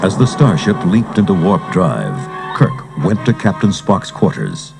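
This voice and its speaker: A man's voice: low voice, sing-song, unhurried, vocal fry. A man giving dramatic scripted narration for a read-along adventure story. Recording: cassette transfer with music underneath.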